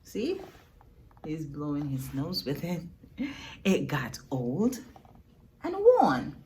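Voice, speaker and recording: Animated, unhurried woman reading a storybook. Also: low-pitched, slight background noise. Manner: expressive, teacherly, with dramatic pauses and emphasis. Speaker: storyteller reading a picture book to children. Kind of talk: read-aloud storytime with questions to young listeners.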